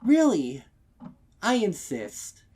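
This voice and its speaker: A man voicing a distraught young pony mare who forces brittle cheer in a high, trembling, stammering voice for a story. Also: huge pitch swings, drawn-out words, a little mellow, slightly rough, exaggerated voice. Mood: forced cheer.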